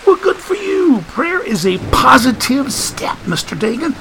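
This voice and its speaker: A man's voice: very high-pitched, big pitch swings, measured pace, bright, forceful tone, slight background noise, pitched above the performer's own voice, full character voice. A man voicing a folksy, preachy wisecracking man who talks in country grammar and sermonizing quips. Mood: teasing.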